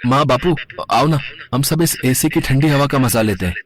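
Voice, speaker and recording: A man voicing an eager, inventive young village boy in an animated moral story, speaking earnestly and plainly. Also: mid-range voice, moderate pace, natural voice. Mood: cheerful.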